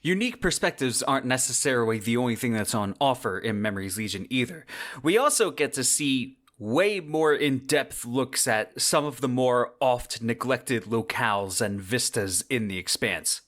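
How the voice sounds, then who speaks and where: A male podcast host with a mid-pitched voice talks through a semi-scripted commentary. His delivery is conversational and wordy, and he leans on key phrases for emphasis. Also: lively intonation, normal speed, fairly bright tone.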